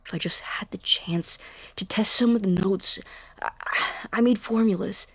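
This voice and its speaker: Woman acting a breathy, obsessive young science student. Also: mid-range voice, dry recording, in a whisper. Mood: fervent, earnest. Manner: halting, self-interrupting, intense. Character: obsessive, anxious young science student convinced of being right. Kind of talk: audio drama monologue.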